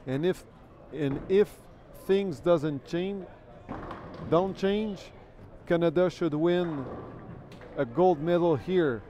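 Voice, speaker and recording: French guy calling bowling live, unhurried and mellow, chatty with the viewers. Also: on the high side, highs cut off, slight background noise. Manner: chatty, thanks viewers, repeats himself while thinking. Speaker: bowling commentator. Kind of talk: live sports commentary. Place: bowling alley.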